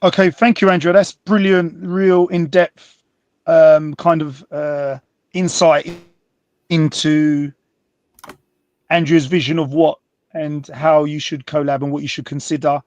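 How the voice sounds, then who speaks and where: A man's voice: fairly high pitch, level tone, unhurried, darkish tone, no room sound. Man hosting a panel, dry sound. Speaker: host. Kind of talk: panel moderation.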